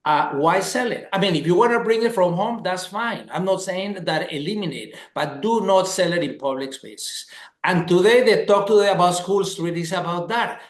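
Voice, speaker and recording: A man's voice: high-pitched, expressive, moderate pace, reverberant. A man from Colombia hosting a webinar over a video call, talking with enthusiasm and stressing points by repeating words. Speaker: webinar host.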